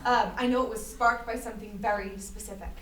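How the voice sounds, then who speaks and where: A woman's voice: medium pitch, fairly even intonation, a little fast, natural voice. A woman playing an awkward, eager interviewer in a staged play reading, stammering and repeating words as she goes. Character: awkward, eager gallery interviewer hosting an artist talk. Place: live staged reading in echoey room. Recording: noisy echoey room, distant mic.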